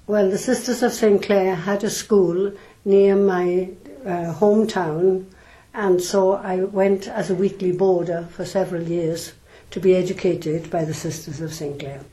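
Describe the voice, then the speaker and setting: An older woman from South Wales speaks, her voice sitting low and mellow. She answers in a measured, reflective way, pausing often to gather her thoughts. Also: steady tone, rough recording. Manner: measured, thoughtful, with frequent pauses and ums. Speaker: nun, interview guest.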